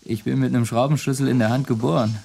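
Cocky drifter with a mellow voice. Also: male voice, medium pitch, fairly even intonation, fast talker, vocal fry, husky. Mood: offhand, cheeky. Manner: casual, offhand, cheeky. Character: cocky, penniless young drifter and mechanic. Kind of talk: naturalistic radio drama dialogue. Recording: faint kitchen sizzle and ambience.

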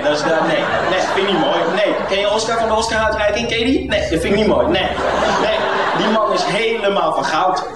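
A man in an amused, casual comic rant, acting out imagined conversations. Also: high-pitched. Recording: roomy echo, distant mic, noisy background.

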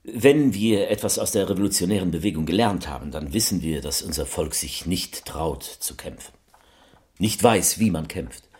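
A man playing a scornful revolutionary leader, speaking in deliberate rhetorical repetitions in a theatrical radio piece. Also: deep voice, lively intonation, darkish tone. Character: scornful revolutionary leader belittling his own people. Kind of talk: theatrical political speech, radio collage.

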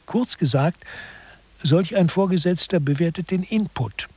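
Husky higher-pitched male lecturer. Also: expressive, measured pace, darkish tone, no echo, exaggerated voice. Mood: sober, explaining. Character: male co-lecturer soberly expounding satirical management theory. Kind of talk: scripted satirical lecture in a radio play.

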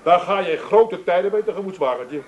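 Glib, highish-voiced Amsterdam son. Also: male voice, expressive, fairly quick, a little mellow, poor audio. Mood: persuasive. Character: glib, scheming son of an old chancer. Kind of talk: radio comedy acting.

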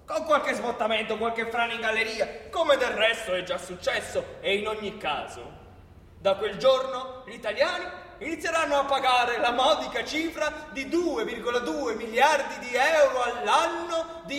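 An actor performs a sardonic male narrator with a very high, bright voice. He lectures theatrically, with irony and emphatic rhetorical questions, as in radio theatre. The sound is distant, in a reverberant hall. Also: lively intonation, moderate pace. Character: sardonic explanatory narrator of a political radio play. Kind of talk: theatrical scripted narration, civic storytelling. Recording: echoey long-reverb hall, distant from microphone.

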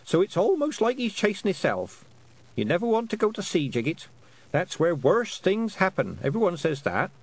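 A man's voice: high voice, wildly swooping pitch, moderate pace, voice pushed up, heavily acted. A man voicing a homely, plain-spoken old country grandmother in a storybook, with rustic turns of speech. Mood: homely, wise. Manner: rustic dialect grammar.

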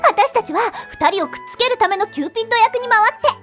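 A woman's voice: very high-pitched, animated, quick pace, a bit bright, faint music behind. A woman voicing a cute, spirited teenage girl in a Japanese audio drama, with cutesy, exaggerated delivery. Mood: eager planning. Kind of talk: Japanese visual novel audio drama acting.

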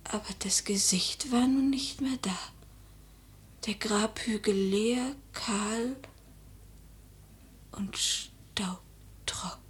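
A woman's voice: mid-range voice, very slow, pauses often, slightly rough, full character voice. An actress playing a haughty, troubled widowed lady in a classic radio drama, with imperious, formal, theatrical diction. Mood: haunted, anguished.

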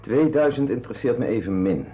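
A proud, stubborn writer played by a man in an old radio drama, talking rapidly with lively swings in tone. He protests bluntly and indignantly, on a dull recording. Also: medium pitch, lightly acted. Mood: defiant. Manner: blunt, protesting, hesitant when cornered. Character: stubborn, indignant male writer who refuses to be bought. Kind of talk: radio play acting.

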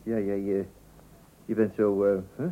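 A man's voice: fairly low pitch, completely flat delivery, a little slow, natural voice. An actor playing a flustered, put-upon husband and father in a radio comedy, hesitant, stammering and repeating himself. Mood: puzzled, critical. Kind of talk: Dutch radio comedy play acting. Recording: thin, phone-like old radio recording.

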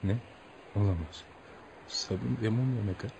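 An actor playing a polite, curious American traveller in a radio play, theatrical and musing, with amused asides to himself. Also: male voice, lowish voice, moderate pace, gravelly, mellow, a little hiss or hum. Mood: surprised, humouring.

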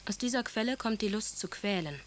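A woman's voice: mid-range voice, animated, brisk, natural voice. An actress playing a wounded, devoted young aristocratic wife, recalling her marriage in a composed, literary way in a radio drama. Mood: earnest, reflective. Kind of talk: German radio drama monologue, memoir-like recollection.

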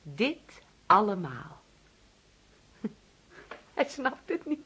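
A woman's voice: medium pitch, big pitch swings, very slow, bright, forceful tone, breathy edge, full character voice. An actress playing a doting, insistently hospitable middle-aged mother in a Dutch radio play, coaxing and fussing. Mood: coaxing, excited.

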